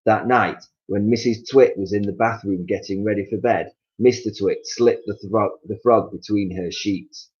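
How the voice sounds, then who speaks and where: Low-voiced man reading a storybook. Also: fairly even intonation, normal speed, hardly any pauses, darkish tone, highs cut off. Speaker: teacher reading a story aloud to children. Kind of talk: expressive storybook narration.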